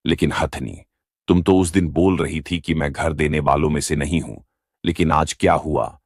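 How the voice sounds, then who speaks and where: A man's voice: rumbling low, fairly even intonation, moderate pace, breathy edge, no echo, clean studio sound, heavily acted. A man voicing a bear moneylender in a children's moral-story cartoon, dramatic and lamenting in manner. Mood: aggrieved. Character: male bear moneylender, scheming yet remorseful. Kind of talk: Hindi animated moral story dialogue.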